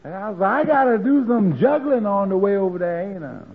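An actor playing a slow, meek, obedient helper in a 1940s radio comedy, with drawling, folksy speech. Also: male voice, high-pitched, lively intonation. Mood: meek, obliging. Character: slow, meek, obedient errand man, dimly good-natured. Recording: dull old radio recording.

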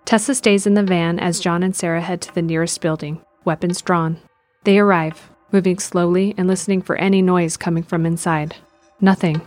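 A woman's voice: fairly low pitch, fairly even intonation, normal speed, a little mellow. A woman reading scripted narration for an audio drama as its narrator. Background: music.

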